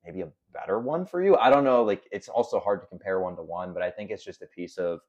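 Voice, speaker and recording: Young man, low voice, mellow tone, reflective rambling chat, podcast guest. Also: fast, highs cut off. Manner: reflective, rambling, filler-heavy. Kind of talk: casual conversation.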